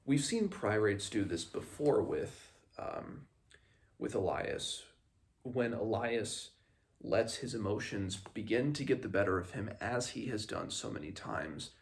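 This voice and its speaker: A man's voice: fairly low pitch, creaky. A man hosting a book read-along, explaining in a casual, slightly hesitant and rambling way. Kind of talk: casual book discussion with passages read aloud.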